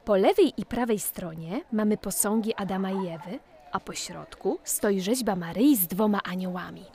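A woman's voice: medium pitch, normal speed, warm tone, natural voice. A woman playing a curious, bookish young girl in a children's audio play, eagerly explaining things like a big sister. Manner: eager, explanatory, teaching her brother.

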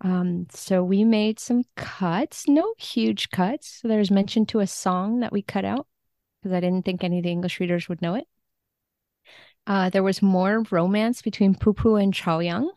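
A woman chatting casually as an interview guest, telling things in an animated way and acting out quoted thoughts. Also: medium pitch, unhurried, dry recording, studio quality. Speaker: podcast guest, book translator.

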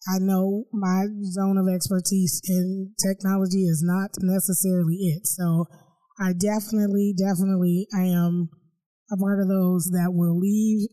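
A woman podcast host with a raspy, hoarse voice after illness, interviewing a guest with apologies and frequent fillers. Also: mid-range voice, level tone, normal speed, dark tone, low-quality recording.

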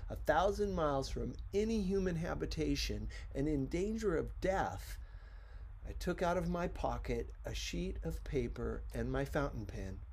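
A man narrating a storybook in a deliberate, theatrical way. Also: medium pitch, vocal fry, a little mellow, slight background noise, low-quality recording. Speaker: audiobook reader.

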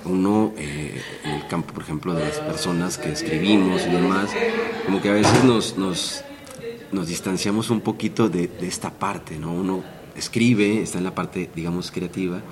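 A man with a very deep voice asks interview questions in a halting, hesitant way. A loud fair crowd fills the background. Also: steady tone. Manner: hesitant, many fillers and restarts. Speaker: podcast host, interviewer. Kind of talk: conversational interview. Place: book fair. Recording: busy fair crowd behind. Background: crowd chatter.

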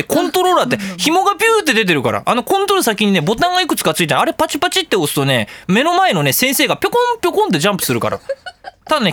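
A man in his thirties hosting a casual, comedic solo radio talk, rambling in an animated, jokey way with exclaimed asides. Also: high voice, no room sound. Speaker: radio show host.

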